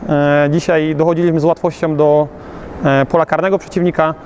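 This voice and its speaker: Hesitant coach, slightly high voice. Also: male voice, little pitch movement, brisk, a little mellow, lo-fi sound, a little hiss or hum. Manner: hesitant, many fillers and restarts. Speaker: youth football coach. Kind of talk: post-match interview. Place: outdoors beside a covered pitch.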